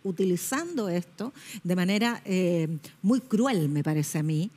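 A woman's voice: fairly low pitch, expressive, measured pace, warm tone. A middle-aged woman journalist giving pointed, critical commentary in a television panel debate, recorded on a lapel mic in a studio.

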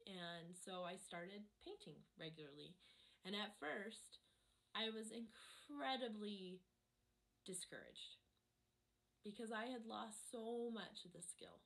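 A woman in her thirties talks through her digital painting in a relaxed, reflective voice-over. Her voice is hoarse and a little low from a cold. She pauses often with soft ums, at a middling pitch. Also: low-quality recording. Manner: reflective, chatty, many ums. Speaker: art channel host. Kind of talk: casual voice-over chat over a painting.

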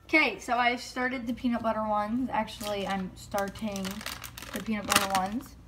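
A woman's voice: mid-range voice, flat delivery, moderate pace, a bit bright, a little hiss or hum. A young woman rambling casually and mocking herself while baking in her home kitchen. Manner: chatty, self-mocking, rambling. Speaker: vlogger. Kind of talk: casual vlog narration.

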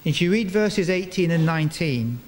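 An older man giving a sermon in a large church, speaking into a stand microphone in measured phrases with deliberate pauses. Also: fairly high pitch, lively intonation. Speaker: preacher. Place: large stone church, indoors, before a congregation. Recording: reverberant church hall, clear background noise.

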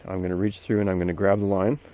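A man's voice: low voice, quick pace, a little hiss or hum. Man explaining rope knots. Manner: steady, plain step-by-step explaining. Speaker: arborist instructor. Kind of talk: hands-on knot demonstration. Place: outdoors among snowy trees. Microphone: head-mounted action camera. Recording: dull lo-fi camera audio.

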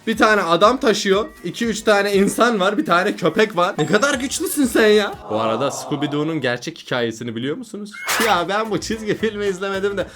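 A man's voice: high-pitched, big pitch swings, fairly quick, hardly any pauses, a bit bright, faint music behind. A man giving casual comedic reaction commentary as a video host, asking exclamatory, mock-baffled questions. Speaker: YouTube host reacting to cartoon images.

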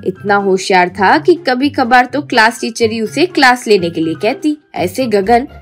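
A woman narrator reading a scripted story for an animated video. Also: on the high side, measured pace, soft background music.

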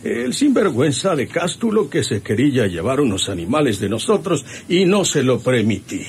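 A man's voice: medium pitch, sing-song, moderate pace. A man playing a protective, hot-tempered older ranch hand, rustic and deferential, with a Mexican accent in a radio drama. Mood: indignant. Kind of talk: radionovela acting. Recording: noisy old radio serial recording.

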